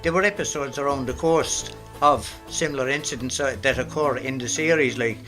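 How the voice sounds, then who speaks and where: Irish man talking over clear music. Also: mid-range voice, normal speed, fairly bright tone, low-quality recording. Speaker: amateur radio drama actor being interviewed. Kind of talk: conversational, reflective interview answer.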